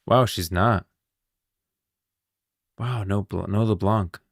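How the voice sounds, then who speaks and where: A man's voice: fairly low pitch, takes it slowly, creaky, no room sound, studio quality. A man giving casual live commentary as a game lore streamer, informal and musing aloud.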